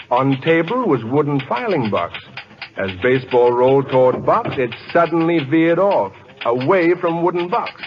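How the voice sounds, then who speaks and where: An actor playing an earnest, bookish chemistry professor in a 1940s radio style, with precise, formal diction. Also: male voice, medium pitch, a little hiss or hum, natural voice. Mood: amazed. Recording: dull old radio broadcast with music.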